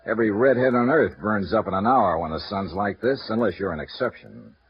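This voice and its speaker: An actor playing a shrewd male insurance investigator in a 1950s radio drama, questioning someone in a calm, probing, gently pressing way. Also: fairly low pitch, brisk, noisy background, lightly acted. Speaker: lead actor. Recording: muffled old radio transcription.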